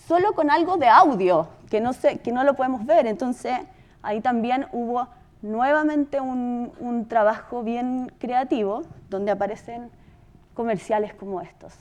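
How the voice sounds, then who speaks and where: High-voiced Chilean woman presenting. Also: unhurried, darkish tone. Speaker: podcast company presenter. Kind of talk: informal conference presentation.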